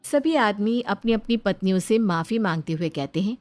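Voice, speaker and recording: A woman's voice: mid-range voice, animated, normal speed, darkish tone. Woman narrating a scripted story.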